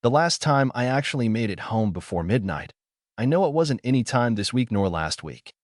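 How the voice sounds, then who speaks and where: A man's voice: medium pitch, sing-song, moderate pace, no echo, clean studio sound. A man reading a fan fiction story aloud in the first person, earnest and reflective. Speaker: story narrator.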